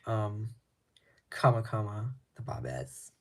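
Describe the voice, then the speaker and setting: A man showing records from his collection in casual talk, laid-back and rambling, his voice slightly raspy, with frequent ums and restarts. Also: fairly low pitch, very animated, slow, noisy background.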